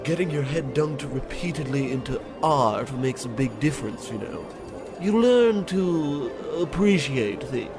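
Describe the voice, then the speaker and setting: An actor playing a flattering, chatty ex-convict casino attendant in his late sixties, with theatrical mock courtesy, in an old-time radio comedy. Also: medium pitch, measured pace, music in the background. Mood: chatty, boastful.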